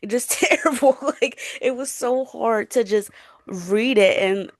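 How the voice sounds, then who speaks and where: A woman's voice: fairly high pitch, takes it slowly, no room sound, studio quality. A young woman co-hosting a casual book chat in an informal, emphatic, opinionated way. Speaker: book podcast co-host.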